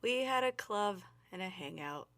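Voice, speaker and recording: A woman's voice: medium pitch, expressive, moderate pace, a bit bright. Easygoing female podcast co-host. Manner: easygoing, laughs often, short agreeing replies. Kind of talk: casual chat.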